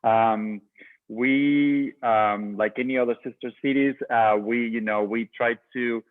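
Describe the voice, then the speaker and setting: A man's voice: mid-range voice, fairly even intonation, a little slow, no room sound. Man with hesitant speech on a tinny call. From Peru. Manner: hesitant, many fillers. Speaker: sister city committee chair. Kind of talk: video call introduction. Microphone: video call. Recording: tinny phone-like dry audio.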